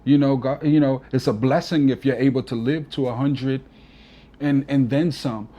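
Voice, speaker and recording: A man's voice: medium pitch, fairly even intonation, moderate pace, mellow, dull audio. A man in his forties, a DJ guest on an interview, talking earnestly and from the heart, often adding "you know". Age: 40s. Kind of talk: casual reflective interview talk.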